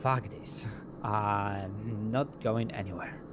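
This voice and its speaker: A man chatting casually in character during online roleplay, rambling and halting, with casual swearing. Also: on the low side, fairly even intonation. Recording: loud car engine noise behind.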